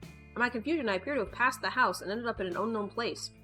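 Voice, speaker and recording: A woman reading a visual novel aloud with casual, playful, wry asides, in an expressive manner. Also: fairly high pitch, fast, poor audio. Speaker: gaming video host. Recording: background music behind the voice. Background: music.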